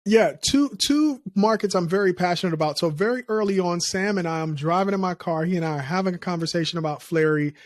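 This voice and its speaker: Liberian guy hosting a startup podcast, kinda high voice, dry sound, hypes up the listeners. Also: fast talker, few pauses, no room sound. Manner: energetic, pitches listeners directly. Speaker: podcast host. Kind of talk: podcast interview and ad read.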